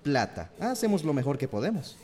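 A man's voice: a little high, sing-song, fairly quick, darkish tone. An actor playing a brusque, defensive, evasive male engineer in a radio soap opera. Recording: reverberant hall-like radio drama sound.

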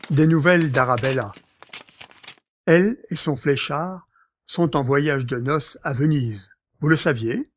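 Urbane gentleman master played by a man, husky, slightly high, dry close sound, courteous gossip. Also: a little slow, dry recording. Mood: urbane, amused. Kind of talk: French audio drama acting.

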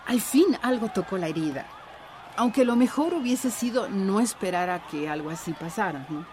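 An actress in a radio drama playing a friendly, outspoken village activist chatting informally with wry amusement. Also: female voice, mid-range voice, expressive, moderate pace, darkish tone, close to an everyday voice. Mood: gracious, wryly amused. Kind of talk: radio drama acting, casual chat. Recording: siren and noisy ambience behind voice.

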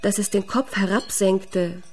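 Woman storyteller reading a fairy tale, measured, medium pitch, soft music behind. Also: steady tone. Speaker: narrator.

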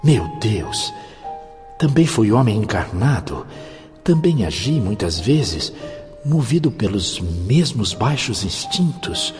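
A man's voice: lowish voice, sing-song, takes it slowly, a little mellow, soft background music. An actor playing a guilt-stricken male spirit thinking aloud in a radio drama. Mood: horrified guilt. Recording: long reverb on inner-thought voice.

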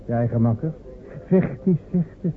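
A man's voice: on the high side, lively intonation, normal speed, close to an everyday voice. Male actor as a cynical, shrugging soldier; offhand and mocking; lo-fi, narrow radio sound. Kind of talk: radio play acting. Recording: narrow phone-like old radio recording.

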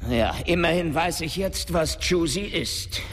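An actor playing a gruff, foul-mouthed, exasperated boss in a German radio drama, sarcastic and blustering. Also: male voice, a little high, measured pace, a bit bright, a little hiss or hum. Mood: irritated, scornful. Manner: sarcastic, cursing, blustering outbursts. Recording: studio production with music bed.

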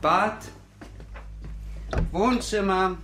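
A man's voice: high voice, very slow, noisy background. An actor playing a hostile, evasive nationalist father in a radio crime drama. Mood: curt. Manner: defensive, evasive, then shouting.

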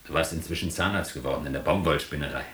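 A man's voice: rumbling low, fast, a bit bright, echoey room. A man acting a wry, bookish East German drinker in a radio play, storytelling with mock-learned irony. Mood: dreamy wry. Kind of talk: radio play narration and dialogue.

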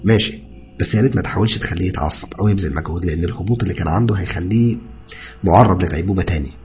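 A man voicing a calm, reassuring young hospital doctor with Egyptian Arabic speech, polite and conversational, in an audio story. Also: low voice, very fast, faint music behind, natural voice. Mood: firm.

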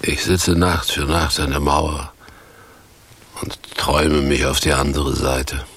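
An actor playing a grandiose, bitter vagrant and former stage hero in a radio play, speaking in a declamatory, sardonic way. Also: male voice, very deep voice, flat delivery, slow pace, a bit bright, slight background noise, full character voice. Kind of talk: 1980s radio play acting.

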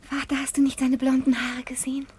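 A woman's voice: sits high, monotone, a little fast, a little mellow, slightly rough. An actress playing a coy, girlish young daughter in a 1950s German radio play. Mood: coy, sweet. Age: young adult.

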